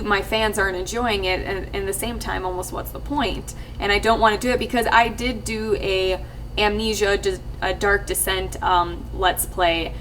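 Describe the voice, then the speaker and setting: A young woman talking casually to her viewers, rambling with lots of fillers and restarts, her voice a little husky. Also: a bit bright. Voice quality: slightly husky, low-ish voice. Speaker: YouTube let's player. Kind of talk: casual talk to camera. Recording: distant mic with faint ambient noise.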